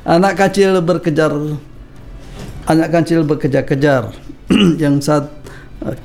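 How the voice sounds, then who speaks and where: A man's voice: fairly high pitch, fairly even intonation, takes it slowly, creaky, a little mellow, faint music behind. A man with a cold hosting a casual radio chat, teasing his co-host and reciting rhymed verses between chuckles. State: has a cold.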